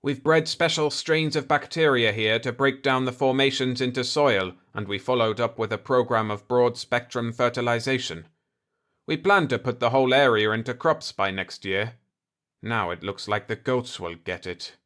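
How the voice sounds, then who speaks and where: A man voicing an indignant, gruff chief of state who complains combatively in a sci-fi audiobook. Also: medium pitch, normal speed, fairly bright tone, natural voice. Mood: proud, bitter. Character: indignant, blustering cigar-chewing planetary chief of state, male.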